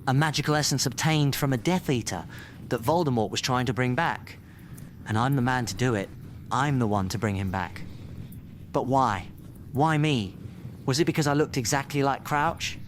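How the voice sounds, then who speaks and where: An actor playing a clever, troubled time traveller in an audio drama, talking to himself with intense questioning. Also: male voice, medium pitch, normal speed, a little hiss or hum, close to an everyday voice. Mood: brooding, questioning.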